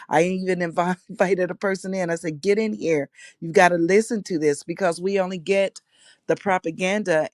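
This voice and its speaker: A woman's voice: fairly low pitch, no echo. Woman guest, chatty and open, phone audio, dry close sound. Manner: open, talkative, frequent restarts. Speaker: guest, host of another chat room. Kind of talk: audio chat room discussion. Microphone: phone in a voice chat app.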